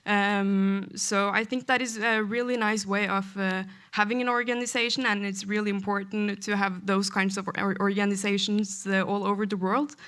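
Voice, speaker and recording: A woman's voice: medium pitch, flat delivery, normal speed, hardly any pauses, a bit bright, clear, resonant tone. A woman from Norway, speaking hesitantly on a conference panel with frequent fillers.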